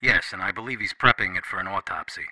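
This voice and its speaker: An actor playing a police officer who brings bad news in a blunt, serious way. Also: male voice, low-pitched, quick pace, pushed and bright, no echo, rough recording. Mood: grave.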